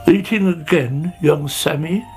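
A storyteller voicing a slow old snail who sighs and scolds in a weary children's story manner. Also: medium pitch, darkish tone, soft background music, voice pushed up, natural voice. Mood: weary scolding. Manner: weary, reproving.

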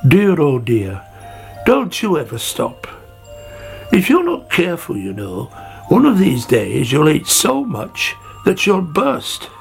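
A man's voice: a little high, wildly swooping pitch, takes it slowly, creaky, soft background music, pitched above the performer's own voice, heavily acted. A man doing a prim, sighing old snail. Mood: disapproving. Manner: sighing, disapproving. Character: older male snail, slow and prim.

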